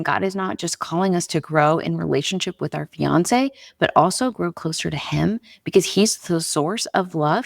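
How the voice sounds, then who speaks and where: A young woman hosts a podcast in a chatty, earnest, encouraging tone, speaking softly to save a tired, slightly raspy voice. Her voice sits low with a gravelly edge, and the recording is close and dry, with no room sound. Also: no room sound. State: strained voice, talking softly. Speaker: podcast host. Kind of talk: casual faith podcast talk.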